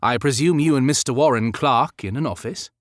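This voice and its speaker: A man voicing a polite, eager young aristocratic gentleman in an audiobook, formal and crisp. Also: mid-range voice, brisk, no room sound, clean studio sound, lightly acted. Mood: polite interest.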